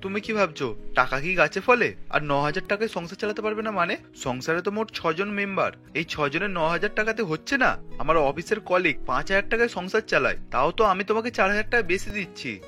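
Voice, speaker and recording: A man's voice: high-pitched, expressive, brisk, pushed and bright, faint music behind. A man voicing a stingy, condescending young husband in a cartoon, arguing dismissively. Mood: irritated, dismissive. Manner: argumentative, condescending. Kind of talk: Bengali cartoon voice acting.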